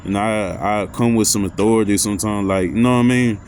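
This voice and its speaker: A man with a deep voice talking loosely about anxiety and growing up, with lots of slang and filler phrases. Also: steady tone, brisk, a little mellow, slight background noise. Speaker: vlogger. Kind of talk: casual motivational talk.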